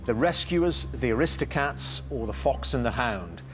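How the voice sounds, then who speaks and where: A man's voice: mid-range voice, expressive, normal speed, a little hiss or hum. A man hosting a television quiz show, upbeat and teasing, reading questions and introducing teams.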